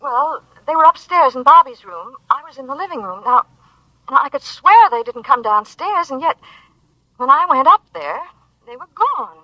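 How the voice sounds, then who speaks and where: A woman's voice: high voice, sing-song, no echo. Worried mother on a phone. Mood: anxious. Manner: anxious, halting. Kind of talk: 1950s radio drama acting. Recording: tinny phone line, old radio broadcast.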